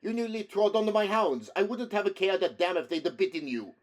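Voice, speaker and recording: Man putting on a loud, hot-headed young Italian man, pitched up. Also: lively intonation, fairly quick. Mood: indignant. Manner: indignant, loud, declamatory challenge.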